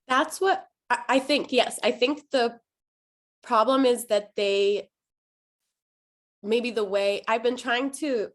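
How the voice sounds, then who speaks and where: Chatty woman teacher on a video call, middle pitch, lots of "like"s and little laughs. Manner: chatty, lively, laughs often, many "like"s. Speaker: adult ESL teacher. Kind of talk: casual discussion among teachers.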